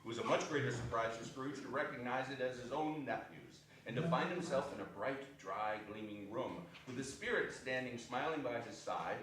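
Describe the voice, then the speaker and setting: A man's voice: mid-range voice, expressive, moderate pace, few pauses, a bit bright. A man narrating a literary story in a dramatic reading. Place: hall of arts center. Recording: echoey hall, distant mic, faint noise.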